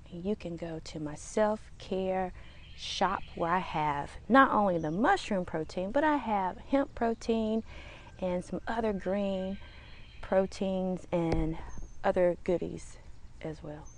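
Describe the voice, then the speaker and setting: A woman hosting her own podcast, talking casually outdoors with a small, slightly raspy voice and a relaxed, rambling way of speaking. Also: lowish voice, slow, slight background noise. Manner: relaxed, rambling, chatty with fillers. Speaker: podcast host. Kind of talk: casual solo podcast talk. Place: outdoors in the sun.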